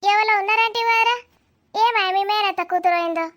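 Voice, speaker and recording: A woman's voice: very high-pitched, steady tone, brisk, a bit bright. A woman voicing a fussing, affectionate village aunt in a cartoon comedy, chattering and inquisitive, with a Telangana accent. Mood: nosy.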